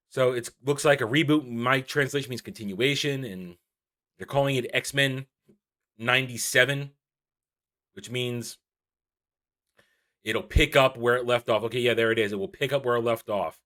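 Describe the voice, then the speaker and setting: A man hosts an opinionated commentary vlog in a medium-pitched voice. He sounds exasperated and emphatic, circling back over the same phrases. Key words get stretched out for weight. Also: a bit bright. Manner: exasperated, emphatic, repeats phrases with drawn-out stresses. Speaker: YouTube channel host.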